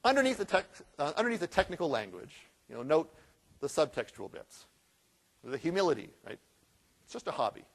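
A man giving a conference keynote, telling a story with rhetorical questions and lively asides. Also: fairly high pitch, brisk, gravelly, pauses often. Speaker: keynote speaker.

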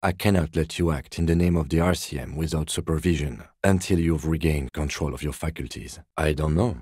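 Very deep, deadpan police detective. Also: male voice, slightly rough, no room sound, clean studio sound. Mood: stern, formal. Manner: measured, restrained, deadpan, formal. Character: composed, dry-witted middle-aged male police detective. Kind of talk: video game character dialogue.

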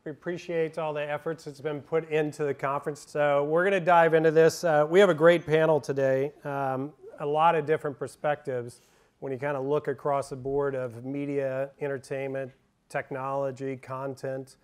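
A man's voice: fairly high pitch, level tone, normal speed, smooth. A man moderating a conference panel on an auditorium stage, upbeat and chatty with loose fillers, through a handheld microphone.

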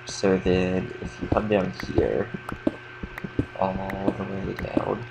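A young man with a slight cold, casually explaining a game build in a relaxed, rambling way with lots of fillers. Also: low voice, takes it slowly, vocal fry, heavy background noise. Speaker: game tutorial creator. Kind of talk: casual gameplay tutorial commentary.

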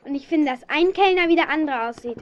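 Woman as very high-voiced, cautious teenage girl. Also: quick pace, close to an everyday voice. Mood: doubtful, worried. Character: cautious, doubting teenage girl, the detective's friend. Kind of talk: 1970s German children's radio play acting. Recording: dull, muffled old audio drama recording.

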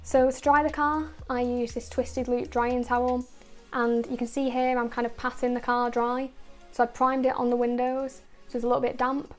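A woman narrating a car detailing video in a steady, explanatory voice-over, going through each step and product methodically. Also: on the high side, moderate pace, hardly any pauses, soft background music. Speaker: car detailing channel host.

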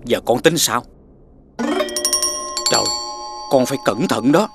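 A man voicing a humble, devoted father in an animated fairy tale, gentle and pleading. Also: medium pitch, takes it slowly. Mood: concerned. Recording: music behind the voice.

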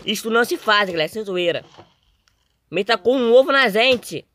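A young man with a Brazilian accent and a slightly hoarse voice, doing casual, slangy gameplay commentary as a video host. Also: sits high, expressive, moderate pace, pushed and bright. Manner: informal, slangy.

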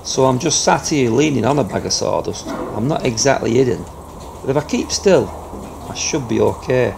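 A man's voice: animated, normal speed, creaky. A middle-aged man, chatting casually to the camera about airgun pest control from inside a farm building. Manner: low-key, chatty, fillers like "you know". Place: farm building loft, indoors. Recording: noisy outdoor-farm ambience.

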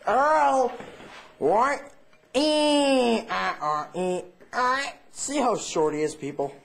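A man calling play-by-play at a home bowling game in a basement, excitable and quick to shout reactions. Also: extremely high pitch, vocal fry. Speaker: home bowler and co-commentator. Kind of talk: casual play-by-play. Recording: noisy 2000s home camcorder audio.

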